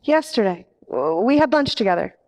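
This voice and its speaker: An actress playing a nervous, pleading nightclub singer with a hesitant manner, in a 1940s radio detective parody. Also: female voice, mid-range voice, sing-song, moderate pace, a little mellow. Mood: earnest. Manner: hesitant, imploring. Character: nervous, pleading female nightclub singer, a femme fatale client.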